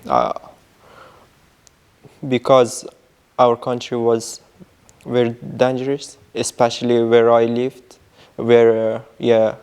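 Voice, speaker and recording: A man from Afghanistan speaking hesitantly, with frequent pauses and fillers. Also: mid-range voice, monotone, darkish tone, smooth.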